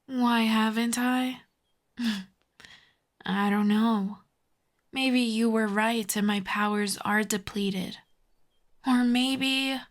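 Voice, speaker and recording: A woman performs a telepathic villainess in an intimate audio roleplay. She is smug, sardonic and menacing, taking her time over every taunt in a drawn-out delivery. Her breathy voice often sinks toward a whisper, with a medium pitch for a woman. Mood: wry, intrigued. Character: smug telepathic female villain, mocking, menacing, secretly intrigued. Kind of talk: ASMR audio roleplay monologue.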